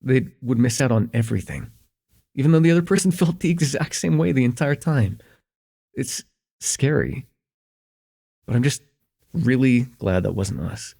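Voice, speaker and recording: A man playing a shy, smitten young man in a slice-of-life ASMR roleplay, speaking in a halting, conversational way with nervous stammers. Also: medium pitch, animated, vocal fry, warm tone, slightly rough. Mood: sincere, wistful. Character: shy, smitten young man confessing a crush, teasing, tender.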